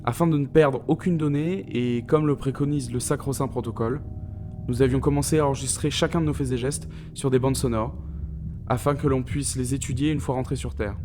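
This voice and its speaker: A man playing a haunted space-mission scientist in an audio drama, narrating gravely and deliberately with weighted pauses. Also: medium pitch, steady tone, music in the background, natural voice. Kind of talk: scripted dramatic first-person narration.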